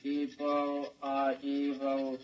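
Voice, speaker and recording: A man playing a childlike, obedient robot in a 1950s radio drama, speaking in a stilted, literal monotone. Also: medium pitch, very slow. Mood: flat, obedient. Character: childlike robot, flat, obedient, coldly learning to kill. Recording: dull vintage 1950s radio recording.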